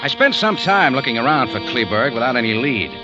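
A man acting a dogged American private-eye type from vintage radio drama, clipped, hard-boiled and skeptical. His delivery swings up and down in pitch, on a dull old recording with prominent music behind him. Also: very animated, brisk, close to an everyday voice. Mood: terse narrating. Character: dogged, wisecracking male amateur detective and cafe owner. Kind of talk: old-time radio detective drama, first-person narration.

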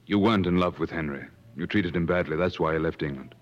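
An actor playing an earnest gentleman adventurer who also narrates, in 1950s radio drama style. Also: deep voice, fast, lightly acted. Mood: quiet, probing. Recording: muffled old 1950s radio broadcast, music.

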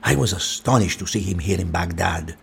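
An actor playing a resigned, courteous man in an audio drama, speaking in a measured, precise way. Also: low-pitched, vocal fry, breathy edge, exaggerated voice. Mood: measured storytelling. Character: resigned, courteous man calmly fatalistic about his death.

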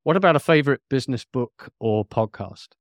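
A man's voice: mid-range voice, expressive, brisk, no room sound. A man hosting a podcast interview in a conversational, agreeable and affirming way. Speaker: podcast host.

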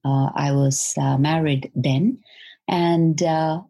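A woman in her fifties from Malaysia, answering interview questions in a measured, reflective way. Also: low-pitched, fairly even intonation, warm tone. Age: 50s. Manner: measured, thoughtful storytelling. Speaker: podcast guest.

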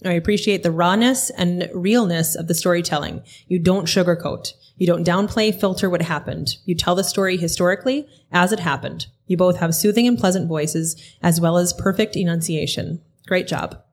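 Woman, teasing, laughs easily, slightly low pitch. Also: moderate pace, hardly any pauses, slight background noise. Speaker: podcast co-host. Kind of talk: casual chat.

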